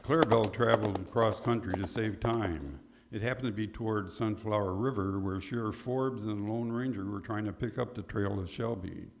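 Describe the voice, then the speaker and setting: A man's voice: on the low side, moderate pace, creaky, hardly any pauses, slight background noise. A man narrating a scripted Western in old-time radio drama style.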